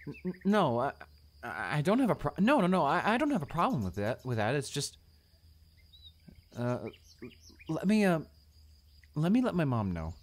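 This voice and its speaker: A man's voice: on the high side, sing-song, moderate pace. A man playing a shy, stammering male student. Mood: excited, flustered. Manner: stammering, hesitant, apologetic. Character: shy, flustered, kind-hearted male student classmate. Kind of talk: romantic audio roleplay acting.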